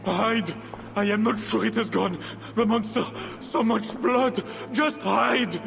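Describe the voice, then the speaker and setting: High, gravelly, terrified tavern keeper. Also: male voice, fairly even intonation, takes it slowly, a bit bright, soft background music, exaggerated voice. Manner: shaky, hesitant, fearful. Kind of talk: video game acting. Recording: long reverb with faint ambient noise.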